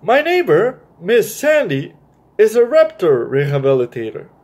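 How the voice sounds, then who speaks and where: Male children's storytime host: notably high voice, unhurried read-aloud delivery, speaking straight to kids. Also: darkish tone. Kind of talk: animated read-aloud of a picture book with explanatory asides.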